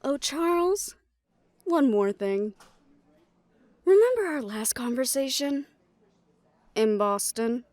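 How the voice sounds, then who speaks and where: A woman's voice: high voice, expressive, takes it slowly, long pauses, darkish tone, no room sound. A woman playing a feisty, defiant young woman with a dry manner in an audio drama. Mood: taunting.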